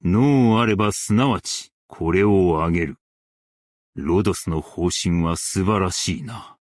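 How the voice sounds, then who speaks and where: A man playing a principled, stern yet merciful scholar-strategist in a game, speaking in a formal, archaic and measured way. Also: low-pitched, dry recording, studio quality. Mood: composed, formal. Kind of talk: Japanese game character voice lines.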